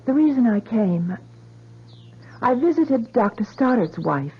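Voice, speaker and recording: Husky, concerned woman in radio drama. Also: a little high, sing-song, a little slow, full character voice. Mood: gentle, careful. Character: concerned, reasoning woman, gently persuasive friend. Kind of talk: 1970s radio drama acting. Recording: dull vintage radio recording.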